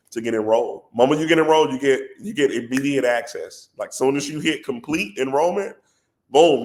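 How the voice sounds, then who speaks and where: A man with a head cold and a congested voice, a company president talking as a podcast guest in an animated, persuasive way. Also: mid-range voice. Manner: animated and persuasive, says "bro" a lot. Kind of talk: casual podcast conversation.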